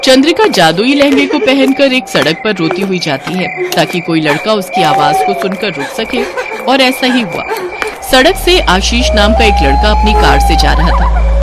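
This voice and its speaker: A woman's voice: medium pitch, heavy background noise. A woman reading a scripted story as a dramatic narrator.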